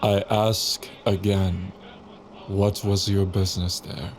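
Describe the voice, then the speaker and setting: An actor playing a suspicious East military captain, deliberate and authoritative in an audio drama. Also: male voice, deep voice, level tone. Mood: stern, suspicious. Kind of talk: cinematic audio drama acting. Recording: faint vehicle noise behind.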